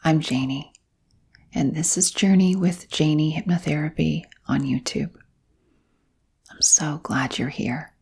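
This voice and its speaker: Woman, soft whisper, lowish pitch, very breathy, soothing hypnotherapist delivery, ASMR-like, gentle pauses. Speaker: hypnotherapist and channel host.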